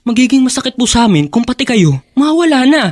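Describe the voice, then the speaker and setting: An actor playing an affectionate young grandson in a radio drama, speaking in a tender, respectful, earnest way. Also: male voice, very high-pitched, very animated, quick pace, darkish tone, no echo, clean studio sound. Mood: earnest, worried.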